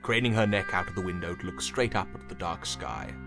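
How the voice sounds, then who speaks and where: A man's voice: low voice, big pitch swings, fairly quick, creaky, loud music behind the voice. A man narrating a story in scripted third-person prose.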